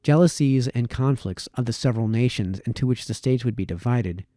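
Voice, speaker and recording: A man reading formal essays aloud as narration, with measured, emphatic phrasing and deliberate pauses. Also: medium pitch, warm tone, no room sound, studio quality. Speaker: amateur reader of historical essays.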